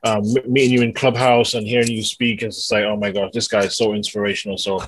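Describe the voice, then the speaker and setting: A man's voice: on the low side, level tone, fast, no room sound, low-quality recording. A London-born man, a singer and songwriter, talking enthusiastically as a podcast guest in casual conversation. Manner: enthusiastic and effusive, laughs easily. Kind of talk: casual interview chat.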